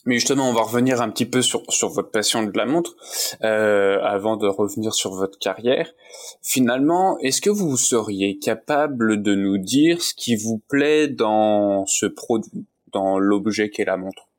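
Low-voiced male interview host. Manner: halting questions with fillers. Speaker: podcast host. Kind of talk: interview hosting.

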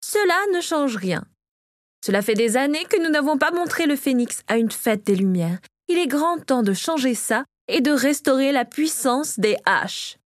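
Storybook mother, played by a female narrator: furious, commanding, scornful, lifted in pitch. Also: expressive, normal speed, no echo, studio quality. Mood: insistent. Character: Victoria, furious domineering mother in a fantasy tale.

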